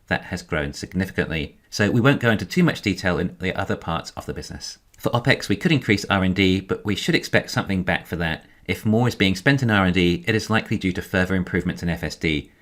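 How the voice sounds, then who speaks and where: A man giving a scripted financial commentary in a measured, explanatory way. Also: deep voice, fairly bright tone. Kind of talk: scripted narration, financial analysis.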